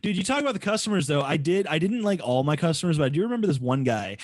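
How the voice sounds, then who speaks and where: A man guesting on a podcast, telling stories in a very animated way, laughing often and swearing casually. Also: a little high, very fast, a little hiss or hum. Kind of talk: casual storytelling chat.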